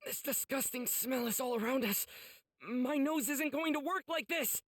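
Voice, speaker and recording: A high, husky, youthful voice, a teenage boy swordsman in an anime game. He sounds earnest, kind-hearted and urgent, recorded dry. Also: normal speed, no room sound. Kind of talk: anime game cutscene acting.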